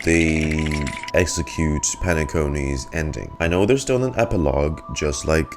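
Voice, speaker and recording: Low-voiced man doing gaming reactions. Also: normal speed. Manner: informal, swearing, reactive. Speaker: streamer, game reactor. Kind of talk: casual live gaming reaction commentary. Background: game music.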